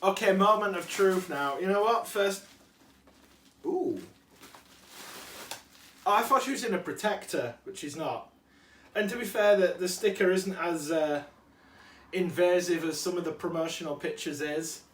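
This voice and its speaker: A man's voice: high voice, measured pace, pauses often, slight background noise. A man with a cold, chatting enthusiastically while unboxing a collectible figure and correcting himself along the way. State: has a cold. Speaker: toy collector vlogger. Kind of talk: casual unboxing chat. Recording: roomy, distant mic.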